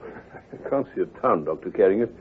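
An actor playing a genial, earnest, easily flustered gentleman sidekick with a British accent, hesitant and bumbling, in an old-time radio drama. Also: low-pitched, animated, normal speed, breathy edge. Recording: muffled vintage radio recording, music.

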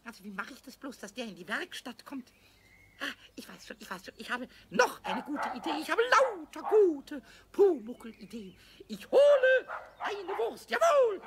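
A man playing a mischievous, childlike kobold in a children's radio play, with a squawky, creaky voice, stammering, repeating himself and slipping into sing-song rhymes. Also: very high voice, heavily acted. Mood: scheming, gleeful. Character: mischievous, childlike little male kobold, tipsy and excitable.

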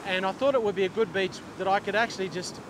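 A middle-aged man with an Australian accent talks in a relaxed, instructional vlog style. His voice sits high for a man. It is recorded outdoors on a beach, with clear noise from waves and wind behind him. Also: fast talker. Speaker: fishing instructor and vlogger. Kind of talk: casual instructional talk to camera. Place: open ocean beach, outdoors. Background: waves, surf and wind.

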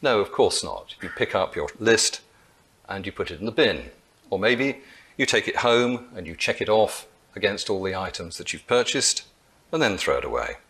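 A man's voice: fairly low pitch, sing-song, moderate pace, fairly bright tone, breathy edge. A man in his sixties giving a lecture at a lectern, telling an anecdote with dry, wry humour, picked up by a lectern mic.

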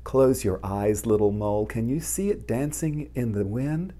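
A man voicing a gentle, reassuring mother mole in a picture book, speaking softly and tenderly with drawn-out words. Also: mid-range voice, animated, close to an everyday voice. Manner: soft, slow, tender, drawn-out.

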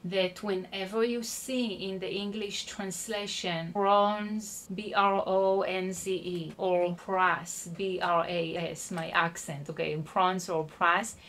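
A woman's voice: fairly low pitch, steady tone, slow, few pauses, fairly bright tone. A woman teaching an online Bible study, explaining patiently and checking in with her listeners. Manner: explanatory, spells out words, checks in with "okay?".

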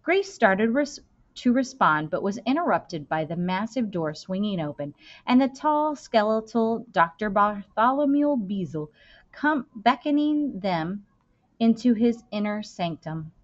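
A woman in her thirties reading a children's novel aloud expressively, with deliberate phrasing and dramatic stresses. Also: medium pitch, animated. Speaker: school librarian reading aloud. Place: indoor room. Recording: dull, lo-fi webcam-style sound.

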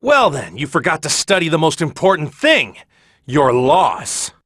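Heroic martial artist, anime game. Husky, high for a man, hugely animated, earnest and determined. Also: a little slow, dry recording, studio quality, full character voice. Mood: determined, defiant. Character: brave, plain-spoken male martial-arts hero, cheerful but determined. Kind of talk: English anime game voice acting.

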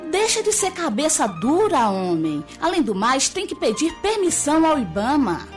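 Sensible farmer's wife, Brazilian accent, high pitch, reproachful, radio drama, faint music. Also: female voice, very animated, normal speed. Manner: reproachful, persuasive. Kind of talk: radio soap opera acting.

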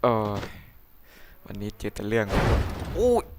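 A man's voice: sing-song, moderate pace, noisy background. A man doing improvised comedic cartoon dubbing, exclamatory and theatrical, with crude, slangy wording. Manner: theatrical, often jumps into shrieks and falsetto. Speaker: comic dubber of a cartoon.

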